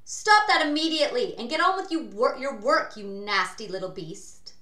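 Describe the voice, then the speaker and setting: A woman's voice: fairly high pitch, lively intonation, moderate pace, bright, forceful tone, reverberant, voice pushed up. A woman reading a storybook as a nasty, bossy aunt who barks commands and insults. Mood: furious.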